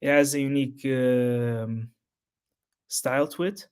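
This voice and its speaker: A man whose first language is Portuguese, talking unscripted to his channel's viewers in a halting, rambling way full of restarts. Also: medium pitch, steady tone, a little mellow, clean tone. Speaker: history YouTuber. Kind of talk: unscripted solo talk to camera.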